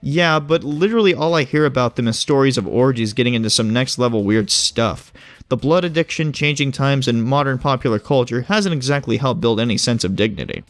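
A man's voice: mid-range voice, brisk, no echo, faint music behind, close to an everyday voice. A man playing a smug, casual agent who explains things glibly in audio drama dialogue. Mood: breezy, amused. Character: breezy, smug male agent who knows hidden things.

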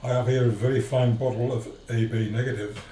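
A man's voice: mid-range voice, flat delivery, fairly quick, mellow, close to an everyday voice. A man playing a genial, tempting vampire in an amateur radio play. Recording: distant echoey room, faint noise.

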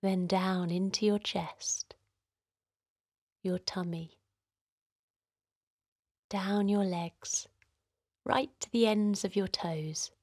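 Slow, soothing woman guiding children's meditation. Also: mid-range voice, no room sound, studio quality. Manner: soothing, gentle, encouraging. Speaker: host of children's guided relaxation. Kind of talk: guided meditation narration for children.

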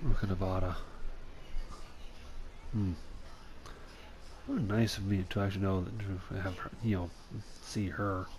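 A man's voice: deep voice, fairly even intonation, slow pace, long pauses, darkish tone, a little hiss or hum, poor audio. A man giving casual gameplay commentary in short, muttered asides that trail off. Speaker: game streamer. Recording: game music and dialogue behind.

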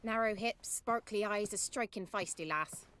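A woman's voice: mid-range voice, normal speed, fairly bright tone, natural voice. A woman playing a solemn village attendant to a seeress in a fantasy game, speaking formally and sternly. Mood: descriptive.

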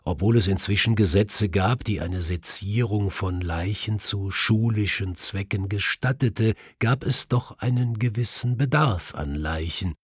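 A man's voice: lowish voice, unhurried, few pauses, breathy edge, no room sound, studio quality. A man narrating a mystery audiobook in a dramatic, scripted storytelling style.

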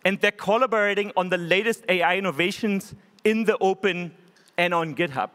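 A man in his forties with a German accent, giving an earnest, measured keynote speech from a conference stage podium microphone. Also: high voice, fairly bright tone, clear, resonant tone. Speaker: keynote speaker. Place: large conference hall stage. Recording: hall reverb.

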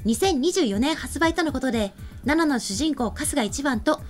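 A woman's voice: high voice, animated, moderate pace, a little mellow, soft background music. A young woman presenting a web show in a polite, orderly way, moving between scripted announcements and light chat. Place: small indoor streaming studio. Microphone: desk microphone.

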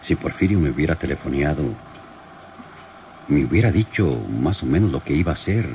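Radio drama lieutenant, male, bass register, weighty and commanding, noisy old recording. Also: animated, mellow. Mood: worried. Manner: deliberate, questioning, commanding. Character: shrewd, worried police lieutenant in charge. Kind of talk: old radio serial acting.

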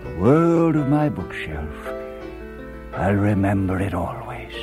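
Low, reflective male narrator over music. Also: very animated, slow pace. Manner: lyrical, reflective. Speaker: program narrator. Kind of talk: scripted nostalgic radio narration.

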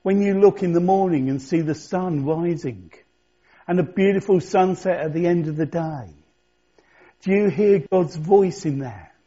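Husky, high-voiced pastor preaching conversationally. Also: male voice, measured pace, dark tone. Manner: conversational, reflective, rhetorical questions. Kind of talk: sermon. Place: church. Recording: dull lo-fi church recording.

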